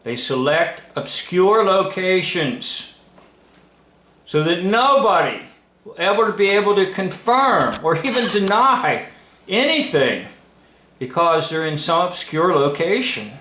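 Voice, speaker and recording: Slow-talking man in an echoey room. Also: high voice, sing-song, sharp tone. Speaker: video host and commentator. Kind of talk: informal unscripted commentary on an old film. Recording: roomy, echoing room sound.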